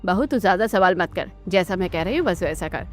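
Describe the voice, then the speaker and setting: A woman's voice: lowish voice, expressive, fairly quick, faint music behind, close to an everyday voice. A woman playing a bossy, miserly Indian mother-in-law in a family cartoon, with a scolding, instructing manner. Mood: bossy, scheming. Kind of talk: Hindi family cartoon dialogue.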